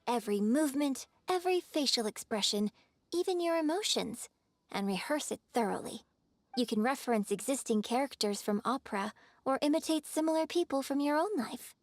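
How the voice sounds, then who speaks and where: Gracious higher-voiced opera performer and teacher. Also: female voice, animated, brisk, no room sound. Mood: instructive, encouraging. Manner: polished, gentle, encouraging, articulate. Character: gracious female opera performer patiently coaching a novice. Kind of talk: scripted video game voice acting.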